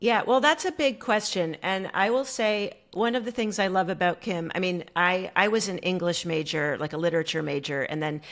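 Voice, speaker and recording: A middle-aged American woman telling a story as a guest on an interview show, animated and full of fillers. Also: on the low side, normal speed, hardly any pauses. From United States. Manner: animated, many fillers like "like" and "you know". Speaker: guest coach.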